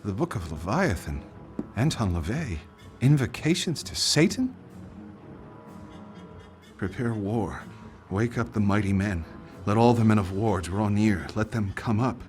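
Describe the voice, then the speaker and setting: A man's voice: on the low side, lively intonation, measured pace, pauses often, a little mellow. An actor playing a troubled middle-aged father in a video game cutscene, speaking in an earnest, uneasy way. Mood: hushed reading. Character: troubled, worried father investigating his family's occult secrets. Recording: music under the voice.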